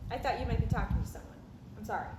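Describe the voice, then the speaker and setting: A woman's voice: fairly high pitch, very fast, lightly acted. A woman acting an evasive, exasperated girlfriend, conversational and dry, at a comic play table read. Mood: casual, reassuring. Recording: roomy, clearly noisy recording.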